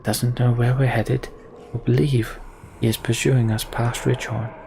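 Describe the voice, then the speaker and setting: A man puts on a solemn, mystical woman leader in a fantasy game. The delivery is hushed, breathy and deliberate, calm but commanding, over faint noise. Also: mid-range voice, lightly acted. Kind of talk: reading game dialogue aloud.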